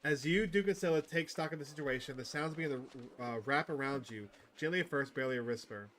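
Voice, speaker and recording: A man's voice: medium pitch, fast talker, fairly bright tone. A man hosting a gaming video, reading visual novel narration aloud and adding casual commentary. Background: music.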